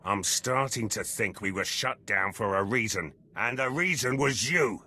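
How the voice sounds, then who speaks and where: Gruff loyal soldier for a game, husky but punchy, clipped blunt lines. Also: male voice, lowish voice, animated, measured pace, pushed and bright, heavily acted. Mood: stern, irritated. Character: gruff, battle-hardened male military commando, loyal and brash. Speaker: soldier companion character in a video game. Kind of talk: scripted game dialogue, clipped sentences.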